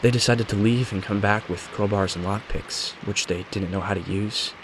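A man's voice: on the low side, normal speed, close to an everyday voice. A man voicing a determined young woman who explains things matter-of-factly in a scripted mystery story. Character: determined young woman recounting her brothers' investigation. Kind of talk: scripted audio story dialogue. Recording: steady rain noise behind voice.

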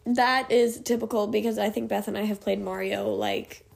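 A woman's voice: medium pitch, moderate pace, creaky, over a noisy crowd. A young woman co-hosting a podcast, a little stuffed up from allergies, rambling and laughing as she retells a story. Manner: rambling, giggly, animated retelling. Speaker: podcast co-host. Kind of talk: casual chat, storytelling.

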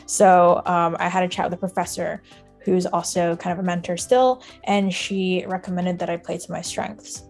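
A woman's voice: lowish voice, fairly even intonation, moderate pace, gravelly, a little mellow, soft background music. A young woman from Singapore and Shanghai speaking casually as an interview guest. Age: young adult. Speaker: podcast guest, music data analyst.

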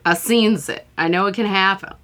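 A female podcast co-host in relaxed conversation. She tells stories with lots of energy, slips into voices for the people she quotes, and breaks into laughter easily. Her pitch sits in a typical middle range. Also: a little fast. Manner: animated, laughs often, acts out quotes. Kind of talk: casual chat and storytelling.

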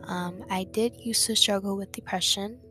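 A young woman hosting her own podcast, talking in a soft, reflective way and repeating words for emphasis. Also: mid-range voice, fairly even intonation, normal speed. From Dominican family background. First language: English. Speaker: podcast host. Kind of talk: casual solo podcast monologue. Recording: music playing underneath.

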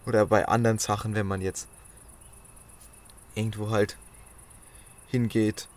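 A man's voice: fairly low pitch, little pitch movement, measured pace. A man in casual podcast chat, musing and thinking aloud in loose phrasing. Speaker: podcast co-host.

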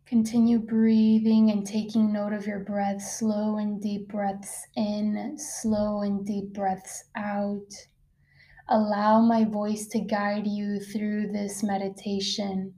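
Female meditation guide; very relaxed, dark-soft tone, little pitch movement, gentle instructional delivery. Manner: soft-spoken, unhurried, with long pauses. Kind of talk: guided meditation, slow soothing narration.

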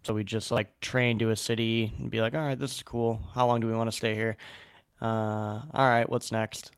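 A man's voice: lowish voice, level tone, moderate pace, creaky, clear, resonant tone, dry recording. A man hosting a podcast, telling rambling stories in casual chat with lots of fillers. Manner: rambling, filler-heavy storytelling. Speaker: podcast host.

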